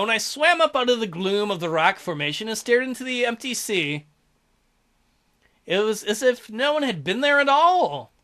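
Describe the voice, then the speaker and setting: A man's voice: sits high, pushed and bright. A man hosting a let's play, reading a visual novel aloud and breaking in with crude, mocking jokes.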